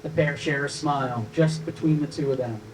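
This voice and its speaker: A man's voice: mid-range voice, normal speed. A man reading scripted stage directions as narrator at a live table read, recorded from a distance with some noise.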